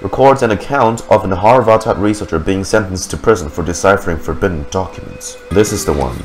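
A young man with a fairly low voice streams a game. He reads on-screen text aloud with casual reactions, close to the mic, with clear music playing behind. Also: fairly quick. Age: young adult. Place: indoor room. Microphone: desk microphone on boom arm, close.

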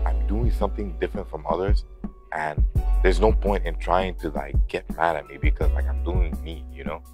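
A young man in his twenties talking to camera in a loose, rambling vlog, full of "you know" and "like", recorded on a lapel mic indoors in a house. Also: low voice. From grew up in Lebanon. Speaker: vlogger.

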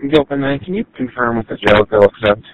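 A man's voice: mid-range voice, expressive, fast talker, dry recording, dull audio. A man, a sheriff's deputy, giving brief updates over a police radio.

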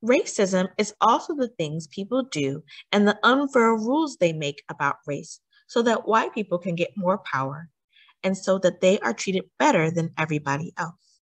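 A woman reading a children's picture book aloud as a story time host, with deliberate, teacherly emphasis and questions to young listeners. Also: mid-range voice, lively intonation.

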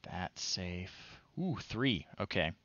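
A middle-aged man giving casual, low-key live commentary as he plays retro games, often muttering to himself. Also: big pitch swings, slow pace, fairly bright tone, no echo, muffled recording. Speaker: livestream host playing retro games. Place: small room at home, indoors.

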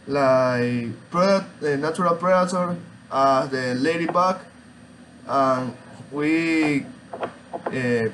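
Slow, halting young man presenting. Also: on the high side, fairly bright tone, a little hiss or hum, low-quality recording. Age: young adult. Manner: halting, hesitant, searching for words. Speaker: student. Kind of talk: informal student oral presentation. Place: small room at home, indoors.

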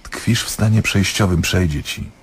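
A cool, knowing doctor in a radio drama. The man's voice is deep and very husky. He sounds detached and wryly dismissive, stating things matter-of-factly. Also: moderate pace. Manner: detached, wry, matter-of-fact. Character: cool, dismissive, knowing male doctor probing his patient. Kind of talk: radio play acting.

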